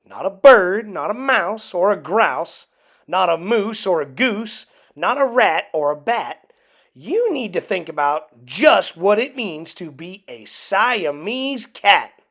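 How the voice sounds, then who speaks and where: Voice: mid-range voice, lively intonation, slow, fairly bright tone, full character voice. A storybook reader voicing a stern, scolding mother cat with an emphatic, lecturing manner.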